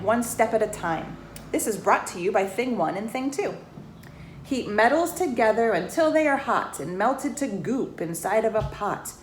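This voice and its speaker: A woman with a fairly high voice reads a rhyming picture book to children. Her delivery is expressive, with asides and questions to the listeners. The sound is echoey and roomy, with slight noise behind her. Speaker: bank employee reading a storybook to children. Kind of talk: children's storybook read-aloud, rhymed verse with asides to listeners. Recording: roomy echo, faint background noise.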